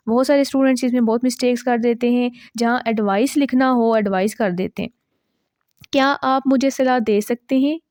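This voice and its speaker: Woman English teacher, fairly high voice, patient and slow, stretches out example sentences. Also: a little mellow, no room sound, clean studio sound. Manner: slow, drawn-out model sentences; checks understanding with quick asides. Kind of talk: grammar lesson explaining common mistakes.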